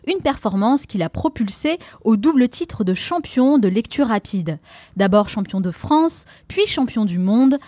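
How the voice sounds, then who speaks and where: A woman's voice, a touch higher than most, hosts a podcast with upbeat, motivational drive. Her tone is mellow rather than bright. The sound is dry and close, with no room around it. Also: no room sound. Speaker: podcast host. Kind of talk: scripted podcast intro, then interview.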